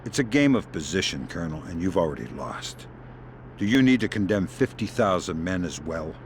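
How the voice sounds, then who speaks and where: A deep, dry American game operative in middle age. He is calm and wry, with a weary, measured delivery. Faint noise sits behind the voice. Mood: calm, persuasive. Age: middle-aged. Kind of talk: video game voice acting.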